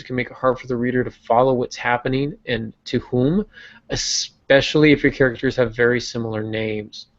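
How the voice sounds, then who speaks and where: Man, gravelly voice, podcast host, explanatory, filler-heavy, dull lo-fi audio. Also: mid-range voice. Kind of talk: casual podcast discussion on writing craft.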